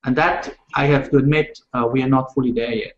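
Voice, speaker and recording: A man from Switzerland, a rail industry panelist speaking in a measured, halting way with many fillers. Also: mid-range voice, steady tone, a little hiss or hum. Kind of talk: panel discussion over video call. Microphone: video call. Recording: roomy, muffled video call audio.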